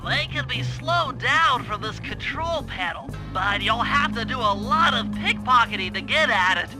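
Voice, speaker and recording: A nerdy planner from a video game. The voice is extremely high for a man and very precise, with a pedantic briefing manner. Music plays clearly behind it. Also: expressive, measured pace, poor audio. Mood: focused, instructive. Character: nerdy, precise male brainy mission planner. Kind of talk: video game cutscene acting. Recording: background music.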